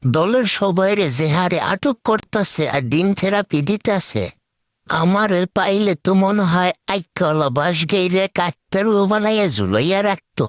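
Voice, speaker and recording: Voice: medium pitch, moderate pace, no room sound, close to an everyday voice. An actor voicing a servile male crow on the run, whining and pleading in rustic colloquial speech in a comic cartoon. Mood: aggrieved. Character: whining, servile male crow sidekick, scruffy fugitive politician.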